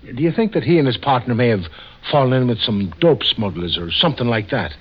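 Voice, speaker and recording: Animated sidekick, vintage radio. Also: male voice, mid-range voice, brisk, breathy edge. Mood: sceptical. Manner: colloquial, exclamatory. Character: blunt, sceptical Irish sidekick detective, loyal to his boss. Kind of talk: 1940s-50s radio drama acting. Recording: muffled, noisy old radio recording.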